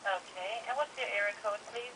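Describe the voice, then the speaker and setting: A woman's voice: mid-range voice, fairly quick, bright, forceful tone. A woman working as a customer service agent on a phone call, asking questions in a polite, procedural way. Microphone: telephone. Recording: distant, noisy telephone line.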